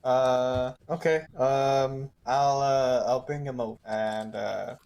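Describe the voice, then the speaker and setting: A man's voice: mid-range voice, fairly even intonation, slow pace, darkish tone, muffled recording. A man playing a tabletop roleplaying game, casually describing his actions and hesitantly searching for words. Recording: noisy mic with typing and clicks.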